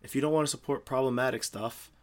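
A man's voice: mid-range voice, steady tone, quick pace. A man co-hosting a casual podcast about movies, joking wryly and sarcastically. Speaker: podcast co-host.

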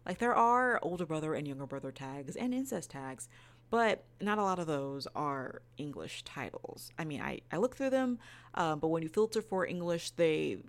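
A woman's voice: sits low, lively intonation, moderate pace. A woman co-hosting a podcast, sharing her review in casual talk. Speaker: podcast co-host.

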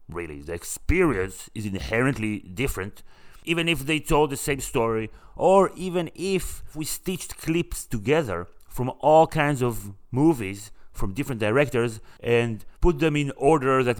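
A man from Israel, a native Hebrew speaker, hosting a casual podcast conversation with an enthusiastic, emphatic manner. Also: mid-range voice. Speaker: podcast host.

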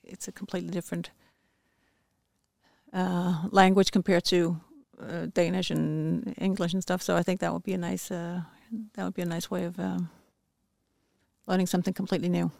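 A woman's voice: fairly low pitch, flat delivery, normal speed, gravelly, darkish tone, no room sound, clean studio sound. A woman who heads a company department, talking as a podcast guest in a casual, thoughtful way with frequent restarts.

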